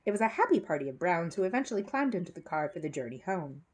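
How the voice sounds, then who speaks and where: A woman narrates a children's book in an expressive read-aloud style. Her pitch moves freely, and her voice has a husky grain. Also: quick pace. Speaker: storyteller reading aloud.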